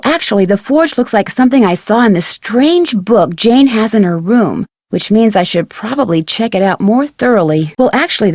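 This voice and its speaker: A woman playing a curious, polite young female detective in scripted video game dialogue, clearly enunciated and inquisitive. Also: mid-range voice, darkish tone, no room sound, studio quality. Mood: thoughtful, confiding. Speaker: voice actor playing a game's lead character.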